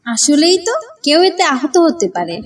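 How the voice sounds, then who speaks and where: Woman playing an eager high-voiced little schoolgirl. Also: big pitch swings, normal speed, recorded from a distance. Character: eager little schoolgirl, concerned young campaigner. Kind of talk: cartoon dialogue.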